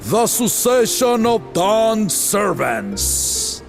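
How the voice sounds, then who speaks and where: Slow, gravelly, stately king. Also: high voice, very animated, soft background music, full character voice. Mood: solemn, stately. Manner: stately, formal, deliberate. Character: proud aging king and father presenting his daughter as heir. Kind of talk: ceremonial speech in a video game cutscene.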